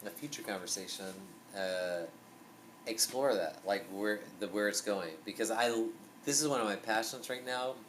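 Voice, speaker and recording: A young man hosting a podcast in a small basement room, talking in an animated, rambling way with lots of fillers and swearing, picked up by a camera mic across a table. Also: lowish voice, normal speed, slight background noise, low-quality recording. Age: young adult. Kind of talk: casual chat.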